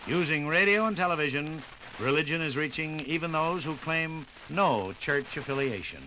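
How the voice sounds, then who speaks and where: A man's voice: fairly high pitch, expressive, normal speed. A man reading scripted 1950s radio documentary narration in a formal, careful announcer's delivery. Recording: noisy 1950s radio record.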